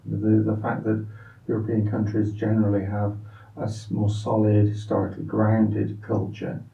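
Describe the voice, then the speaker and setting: A man interviewing in an academic style, phrasing his questions carefully and haltingly, heard distant and slightly muffled in an echoey room. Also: lowish voice, completely flat delivery, gravelly, dark tone. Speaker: interviewer, university professor.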